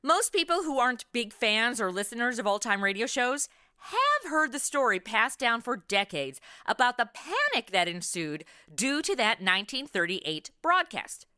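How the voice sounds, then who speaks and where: Animated, bright-voiced woman podcast host. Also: fairly high pitch, moderate pace, smooth, no room sound, clean studio sound. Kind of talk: lively scripted conversational hosting.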